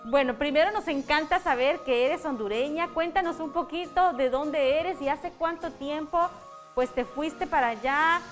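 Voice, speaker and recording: A woman's voice: sits high, moderate pace, soft background music. A woman hosting a morning TV show, asking interview questions with upbeat enthusiasm. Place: TV studio.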